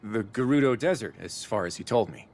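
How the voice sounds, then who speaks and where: A man's voice: on the low side, animated, a little fast, darkish tone. An actor playing a courteous, confident male warrior chief and strategist in a video game cutscene. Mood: modest, relieved. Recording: music behind the voice.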